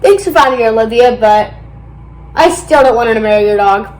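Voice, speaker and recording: A woman's voice: on the high side, lively intonation, a little fast, a little mellow, reverberant, natural voice, rough recording. A female reader voicing a blunt, cross young girl in a picture book read aloud. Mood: annoyed. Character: cross, blunt young girl who lost her dog.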